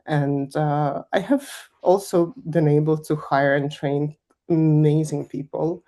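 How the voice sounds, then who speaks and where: A woman's voice: sits low, fairly even intonation, slow pace, dark tone. A young woman from Kazakhstan answering interview questions in a candid, emphatic way, with frequent laughs. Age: young adult. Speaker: guest finance executive.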